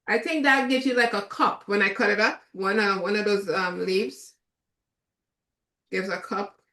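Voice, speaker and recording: A Trinidadian woman chef giving a relaxed, chatty cooking demonstration, with many fillers. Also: mid-range voice, measured pace, roomy sound.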